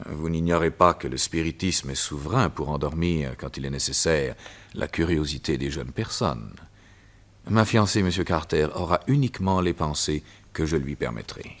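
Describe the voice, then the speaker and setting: An actor voicing a gloating, theatrical villain who is a doctor and hypnotist in a vintage radio drama. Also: male voice, extremely low pitch, normal speed. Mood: coldly smug. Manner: sneering, theatrical, laughs at his own cruelty.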